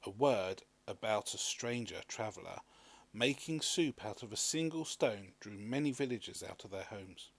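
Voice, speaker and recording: A man reading a children's story aloud as an expressive scripted narration. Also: fairly low pitch, moderate pace, creaky, slightly rough. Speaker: storybook reader.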